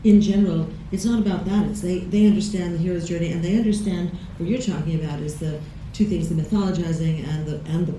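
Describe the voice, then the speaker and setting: A woman's voice: fairly low pitch, fairly even intonation, fast, few pauses, dark tone, a little hiss or hum. A woman with a Chicago accent answering questions on a panel, emphatic and repeating words for stress. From Chicago, USA. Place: large screening hall. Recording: echoey hall recorded from far off.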